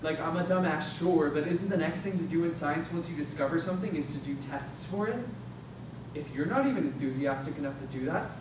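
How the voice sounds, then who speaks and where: Man playing sarcastic, bitter young space maintenance worker, stage reading, confrontational and slangy, heavy echo, noisy rumble behind. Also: a little fast, lightly acted. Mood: frustrated, pleading. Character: brash, resentful, foul-mouthed young male space maintenance worker. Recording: very echoey room, distant mic, noisy rumble.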